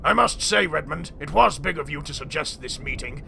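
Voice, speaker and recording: A man voicing a pompous, dim, ancient tycoon in an earnest comic dub, with a creaky old voice. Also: on the high side, animated, brisk, sharp tone, a little hiss or hum, exaggerated voice. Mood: grave, earnest. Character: pompous, feeble-minded ancient male tycoon, feuding brother.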